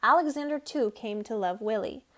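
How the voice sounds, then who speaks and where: A woman narrates a picture book for children. Her voice sits high, and she reads expressively, as a storyteller does. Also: normal speed, a little hiss or hum. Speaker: storybook reader.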